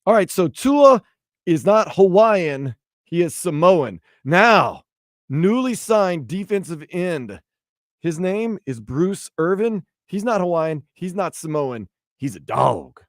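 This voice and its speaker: A man hosting a sports radio show, with a punchy, energetic delivery. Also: high voice, takes it slowly, dry recording, clean studio sound. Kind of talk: sports radio talk and promo.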